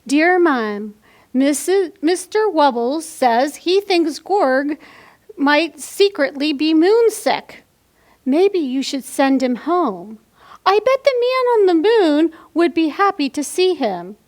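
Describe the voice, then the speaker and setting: An imaginative little girl from a picture book, read aloud by a woman, writes letters to her mother. The voice is high and takes its time over each phrase. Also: very animated, slow pace, full character voice. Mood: earnest, imaginative.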